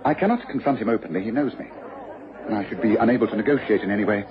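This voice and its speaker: An actor playing a commanding, incisive gentleman detective with a British accent in a radio drama. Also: on the low side, rapid-fire, natural voice. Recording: dull, noisy radio broadcast, crowd murmur.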